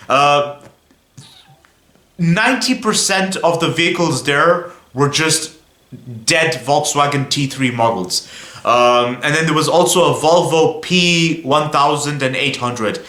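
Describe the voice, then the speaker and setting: A young man from Belgium gives a casual vlog monologue about cars. He talks in a chatty, rambling way and keeps calling out to his viewers. His voice is bright and a little high, and it rings in a roomy space. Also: a little slow. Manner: chatty and rambling, often says "guys". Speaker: car vlogger.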